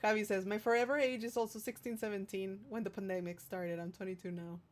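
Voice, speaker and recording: A woman's voice: mid-range voice, fairly even intonation, fast talker. Female livestream host, mid-range voice, casual and affectionate, playful while reading and answering viewer messages. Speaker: streamer hosting a livestream, singer-songwriter.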